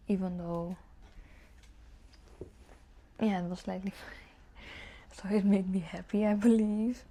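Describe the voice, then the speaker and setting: A woman's voice: mid-range voice, vocal fry, warm tone. A woman book vlogger chatting casually and informally to camera, musing aloud with some hesitation. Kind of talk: casual chat to camera, answering tag questions.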